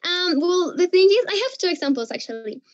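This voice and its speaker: Woman, high voice, Mexican accent, native Spanish, hesitant, searching for words, dull video-call audio. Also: animated, brisk. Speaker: workshop participant.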